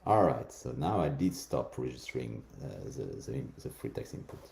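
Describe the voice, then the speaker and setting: A man's voice: a bass voice, lively intonation, normal speed, vocal fry, a little mellow, echoey room, slight background noise. A man with a French accent, a native French speaker, giving a live conference demo and calling out voice commands between explanations.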